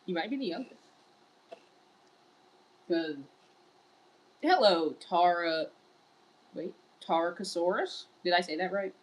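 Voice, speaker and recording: A woman's voice: lowish voice, slow, pauses often. A woman streaming live in casual chat, reading viewer messages aloud and answering them. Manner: wearing large headphones. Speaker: livestreamer.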